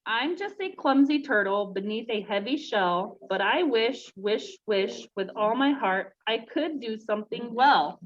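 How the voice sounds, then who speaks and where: Woman reading as slow, earnest young turtle, muffled. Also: fairly high pitch, lively intonation. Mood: wistful, dejected. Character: clumsy, self-doubting, kind-hearted young male turtle. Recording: dull lo-fi sound, clear background noise.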